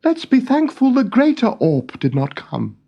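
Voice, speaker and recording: A man's voice: very high voice, huge pitch swings, a little slow, creaky, dark tone, muffled recording, pitched above the performer's own voice, full character voice. A man voicing a kind-hearted little Norse king in a storybook, earnest and plain-spoken. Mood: puzzled, relieved. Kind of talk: storybook character voice.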